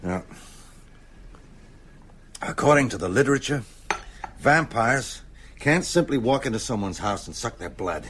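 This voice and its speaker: An actor playing an older, learned schoolteacher in a radio drama, grave and anxious, speaking with earnest, deliberate phrasing. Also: male voice, mid-range voice, animated, a bit bright, slight background noise. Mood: grave, worried. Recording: music under the voice.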